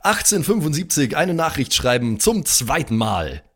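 A man hosting a podcast, delivering an insistent, improvised comic sales pitch like a street hawker. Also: sing-song, normal speed, fairly bright tone, husky. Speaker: podcast host.

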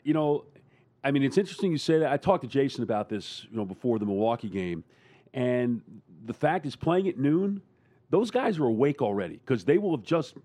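A man's voice: mid-range voice, very animated, fairly quick, dark tone, dry recording. A man who works as a radio broadcaster, talking emphatically in a sports roundtable and repeating phrases for stress.

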